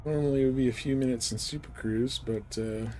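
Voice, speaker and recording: A man's voice: medium pitch, fairly even intonation, fairly quick, warm tone, a little hiss or hum, low-quality recording. A man live-streaming a game, giving casual commentary and advice in a rambling, informal way.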